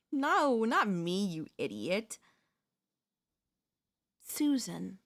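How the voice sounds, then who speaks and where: A woman playing a possessive, manipulative wife in an ASMR audio roleplay, speaking to the listener in a sweetly condescending, deliberate, theatrical way. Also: mid-range voice, very animated, fairly bright tone, exaggerated voice. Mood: accusing, condescending. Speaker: voice actress.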